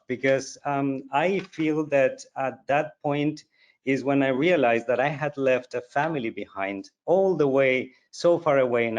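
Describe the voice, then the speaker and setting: A man's voice: mid-range voice, lo-fi sound. Heartfelt man on a video call. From Argentina. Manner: warm, emotional, many fillers and restarts. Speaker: company team member and guest. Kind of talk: heartfelt virtual event remarks.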